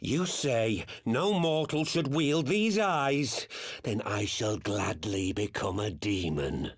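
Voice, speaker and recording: An actor playing a fanatical, embittered rebel commander in a fantasy game, with a grandiose, theatrical delivery. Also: male voice, medium pitch, lively intonation, slightly rough, full character voice. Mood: fierce, contemptuous. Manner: grandiose, bitter, slow dramatic declamation.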